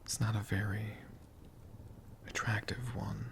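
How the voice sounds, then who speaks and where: Guy being a friendly bookish dragon doing whispered asmr stories, super slow n gentle, creaky breathy voice. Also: fairly low pitch, flat delivery, noisy background. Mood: gentle, musing. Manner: slow, gentle, lingering and repeating words. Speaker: ASMR host.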